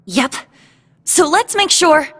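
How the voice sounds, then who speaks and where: A woman's voice: high voice, very animated, slow, bright, forceful tone, big-room sound, full character voice. An actress playing a spirited, confident young female cadet in a video game cutscene. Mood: earnest. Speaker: voice actor.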